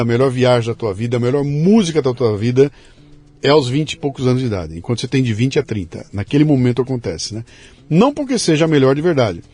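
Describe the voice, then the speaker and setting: A Brazilian man from interior São Paulo hosting a podcast interview, telling stories in an animated, emphatic way. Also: fairly high pitch, husky. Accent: São Paulo interior Brazilian Portuguese. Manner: animated storyteller, emphatic asides. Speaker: podcast host. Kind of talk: conversational interview.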